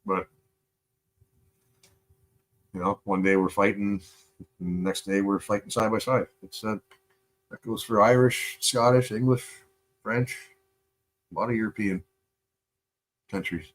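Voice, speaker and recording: A man from Canada streaming live, chatting loosely and profanely with lots of fillers, and he is high. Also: a little slow, long pauses. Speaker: live streamer reacting to videos. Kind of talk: casual rambling stream chat.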